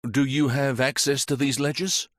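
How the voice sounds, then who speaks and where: A man voicing a calm, methodical police inspector who questions in a measured, polite way. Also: medium pitch, no echo, clean studio sound, voice pushed up, close to an everyday voice.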